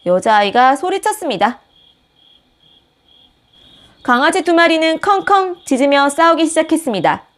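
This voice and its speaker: A woman host reading a picture book aloud with gentle, expressive storytelling. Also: high voice, moderate pace, long pauses. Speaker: storybook reader, channel host.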